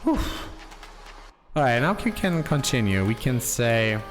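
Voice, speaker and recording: A man streaming himself coding, thinking aloud in a slow, halting way and reading code out as he types. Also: mid-range voice, big pitch swings, faint music behind. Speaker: programmer streaming live coding.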